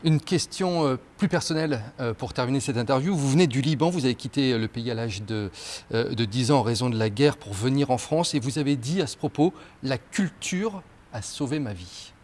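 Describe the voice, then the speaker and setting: A man's voice: medium pitch, fairly quick, a little mellow. Courteous male TV interviewer. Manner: courteous, formal questioning with hesitations. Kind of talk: television interview questions.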